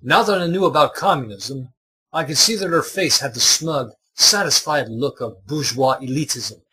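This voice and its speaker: A man narrating a scripted comic story with a husky voice. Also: medium pitch, moderate pace, bright, forceful tone, a little hiss or hum, rough recording.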